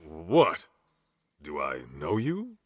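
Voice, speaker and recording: A man's voice: low voice, huge pitch swings, extremely slow, no room sound, studio quality, exaggerated voice. An actor playing a burly, easygoing former yakuza tough guy in a video game cutscene, slangy and sardonic. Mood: puzzled.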